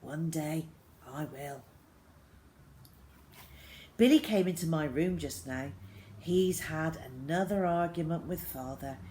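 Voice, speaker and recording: A woman reading a children's novel aloud as a first-person diary, with expressive, deliberate phrasing. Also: sits low, a little hiss or hum.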